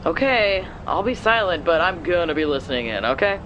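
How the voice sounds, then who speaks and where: Lively, blunt woman sidekick, voiced by an actress. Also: fairly low pitch, a little fast, muffled recording, noisy background. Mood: casual, teasing. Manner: casual, sarcastic, blunt. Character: blunt, playful sister running a superhero's comms. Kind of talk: audio drama dialogue.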